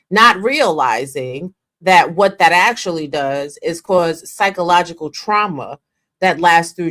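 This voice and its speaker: A woman's voice: lowish voice, a bit bright. A woman making her points with emphasis, drawing out her phrases and lecturing in a casual discussion.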